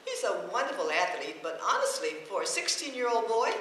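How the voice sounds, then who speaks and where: In a very echoey old radio comedy recording, a scatterbrained older landlady with a bright, animated voice. She is kindly and chatty, dithering along and easily sidetracked. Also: a little high, a little fast, a little hiss or hum. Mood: chatty, admiring. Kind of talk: vintage radio comedy acting before a laughing audience.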